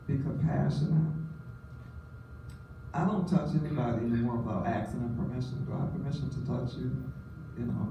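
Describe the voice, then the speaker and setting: A woman with a raspy voice presenting a workshop and quizzing the audience. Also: sits low. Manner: animated, questioning the audience. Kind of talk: interactive workshop talk. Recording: echoey room, distant mic, noisy.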